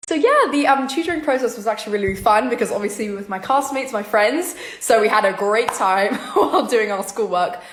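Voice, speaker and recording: A woman's voice: a little high, expressive, a little fast, hardly any pauses, roomy sound, slight background noise. Chatty teen girl with a British accent. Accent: mostly British, sometimes American. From born in New York, raised in London. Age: teens. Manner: chatty, repeats "really, really", light giggles. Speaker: young actress answering fan questions. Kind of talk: casual vlog Q&A. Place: her home kitchen, indoors.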